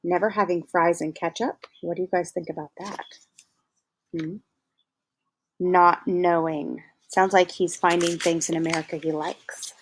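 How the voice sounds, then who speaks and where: A woman reading a children's novel aloud in a gentle storytelling manner, pausing to ask her listeners questions. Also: fairly low pitch, steady tone, slight background noise, poor audio. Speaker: read-aloud narrator.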